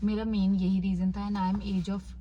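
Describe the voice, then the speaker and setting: A woman's voice: medium pitch, flat delivery, measured pace, warm tone, dull audio, slight background noise. A young woman in her twenties with an Indian accent, mixing Hindi and English as she casually answers viewer questions in a vlog. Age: 20s. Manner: switches between Hindi and English. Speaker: YouTuber.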